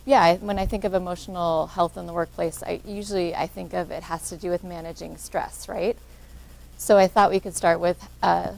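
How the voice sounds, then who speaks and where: A woman with a soft voice, a social worker giving an interactive workshop talk and encouraging answers from the audience. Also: fairly low pitch, vocal fry, rough recording.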